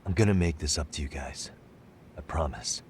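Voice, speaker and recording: An actor playing a cool-headed, wry private detective in a game dub, measured and dry. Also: male voice, very deep voice, slight background noise. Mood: calm, earnest. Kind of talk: dubbed video game cutscene acting. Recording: music behind the voice.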